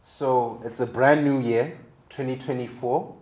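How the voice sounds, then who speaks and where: A man's voice: medium pitch, fairly even intonation, unhurried, a little mellow. A man hosting a casual interview in an encouraging, affirming way. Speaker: interview host. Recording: roomy, echoing sound.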